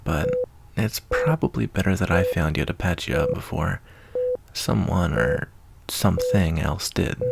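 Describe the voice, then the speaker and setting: Low, creaky-voiced kindly wasteland doctor, played by a man. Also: very noisy, heavily acted. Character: kindly, easygoing male wasteland doctor reassuring a patient. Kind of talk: ASMR roleplay, soft-spoken and intimate.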